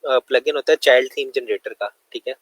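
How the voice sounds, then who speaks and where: A man's voice: medium pitch, fast, dry recording, rough recording. A man with a sore throat, giving a loose, conversational screen-share lesson full of fillers. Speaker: web development tutor.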